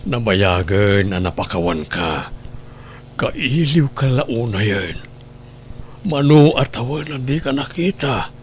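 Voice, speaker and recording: A man's voice: mid-range voice, lively intonation, slow, long pauses, slight background noise. A man playing a forgiving, longing lover in an audio drama, with a tender, subdued manner. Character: forgiving, longing man reunited with his beloved. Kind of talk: melodramatic audio drama acting.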